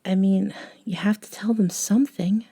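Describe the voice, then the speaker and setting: A woman playing a gentle, eccentric young woman, a caring friend, in an intimate ASMR audio roleplay, soft-spoken with hesitant pauses. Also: medium pitch, fairly even intonation, slightly rough. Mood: gently concerned.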